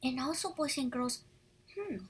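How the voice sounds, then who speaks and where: A woman teaching young children through a picture-book read-aloud, animated and encouraging, pausing to ask questions, with a husky, breathy voice. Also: sits high.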